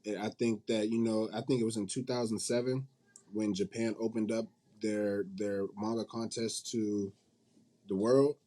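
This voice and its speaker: A man with a fairly low, warm and mellow voice chats as a guest in a casual interview. His speech is informal and conversational, with everyday slang. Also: flat delivery, normal speed. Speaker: manga company CEO, interview guest.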